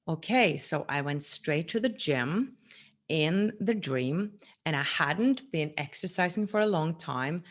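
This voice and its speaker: A woman in her forties talking earnestly to camera in a personal teaching monologue, recorded seated in a small room at home. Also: low voice. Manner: earnest, gestures a lot with her hands. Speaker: vlogger.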